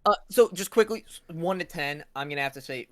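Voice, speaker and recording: A man co-hosting a casual review chat, supportive and a little halting as he puts questions. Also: a little high, lively intonation, a bit bright, no room sound. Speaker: co-host asking the questions.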